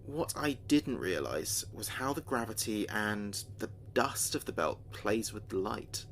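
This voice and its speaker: A man playing an earnest space communications officer, recording logs in a measured, thoughtful way for a sci-fi audio drama. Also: on the low side, very animated, fairly bright tone, slight background noise, heavily acted. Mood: awed, thoughtful. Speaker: communications officer keeping a mission log. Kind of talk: audio drama log narration.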